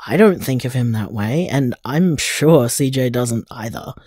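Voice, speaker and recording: A man playing a loving, supportive boyfriend in an audio drama, speaking earnestly and haltingly, with casual tenderness. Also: medium pitch, expressive, darkish tone, husky, dry recording, clean studio sound. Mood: caring, concerned. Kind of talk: audio drama voicemail acting.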